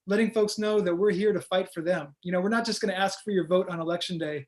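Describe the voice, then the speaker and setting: A man, a candidate, giving energetic, rallying answers in an interview over a video call. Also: sits high, quick pace. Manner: energetic, rallying, stump-speech delivery. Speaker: congressional candidate.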